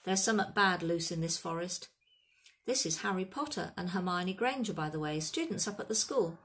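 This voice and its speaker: Storybook gamekeeper man played by a woman: gruff, protective, rustic and colloquial, voice often raised. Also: lowish voice, lively intonation, moderate pace, fairly bright tone. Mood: relieved, friendly. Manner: rustic dialect, blunt, colloquial. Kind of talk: storybook character voice.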